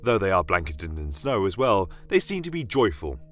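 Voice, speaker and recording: A man's voice: low-pitched, sing-song, normal speed, faint music behind. A man playing a genial fantasy doctor and alchemist, telling tales of his world in a formal, florid, theatrical way. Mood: warm, festive. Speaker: in-character narrator. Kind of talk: scripted in-character fantasy storytelling.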